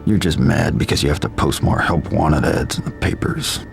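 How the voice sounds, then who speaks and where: An actor playing a grim, sardonic vigilante in a video game, with a gravelly voice and terse deadpan delivery. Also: male voice, extremely low pitch, moderate pace, soft background music, heavily acted. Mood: dry sarcasm. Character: grim vigilante antihero, sardonic hard-bitten adult man. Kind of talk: video game cutscene acting.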